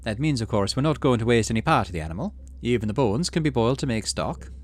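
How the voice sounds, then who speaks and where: A man voicing a kindly, practical aunt from a game, explaining things in a gently persuasive way. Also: mid-range voice, lively intonation, fast, lightly acted. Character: kindly, practical aunt running a colony kitchen. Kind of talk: game dialogue read aloud.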